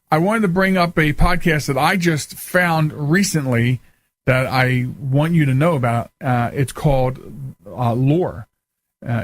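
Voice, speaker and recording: A man's voice: mid-range voice, dry recording. A man hosting a radio talk show in casual, informal chat, often repeating and rephrasing himself.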